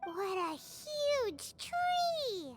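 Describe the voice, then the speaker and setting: A very high, squeaky little fairy girl from a video game, excitable and easily annoyed. Her pitch bounces around as she exclaims, with loud music playing behind her. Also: extremely slow, exaggerated voice. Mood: curious, amazed. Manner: refers to self in third person, exclamatory.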